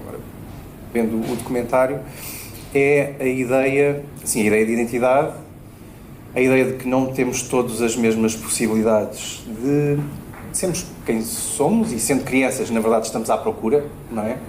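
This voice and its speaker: A man with a European Portuguese accent speaks as a debate panelist, pausing often with fillers and tag questions. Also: medium pitch, steady tone, a little mellow. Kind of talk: public panel discussion. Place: event space at a bookshop. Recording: roomy hall with faint noise.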